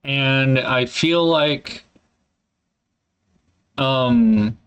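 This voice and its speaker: A man in a candid solo vlog, thinking aloud in a halting, hesitant way and swearing casually. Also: medium pitch, a bit bright, smooth. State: congested. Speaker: vlogger.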